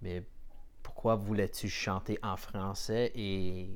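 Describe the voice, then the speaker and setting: Low-pitched Canadian francophone man interviewing. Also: level tone, slow. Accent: Canadian French. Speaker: podcast host, interviewer. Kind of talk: casual podcast interview.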